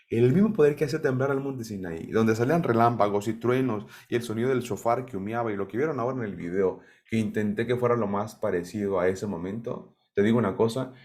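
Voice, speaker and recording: Low-pitched male Bible teacher, questions the room, keeps checking in. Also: darkish tone. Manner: asks the audience questions and checks in with "¿sí?". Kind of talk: sermon-style Bible teaching.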